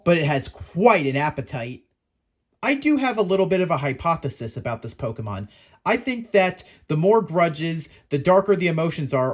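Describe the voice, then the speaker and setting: A man hosting a scripted creature-lore video, narrating in a chatty, theatrical storytelling manner that speaks straight to the listener. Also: on the high side.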